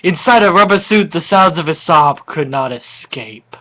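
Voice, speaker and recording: A person giving a tipsy, mock-dramatic reading of comic fan fiction, halting and often giggly. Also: mid-range voice, animated, bright, forceful tone. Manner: giggly, frequently breaking into laughter; melodramatic, halting delivery.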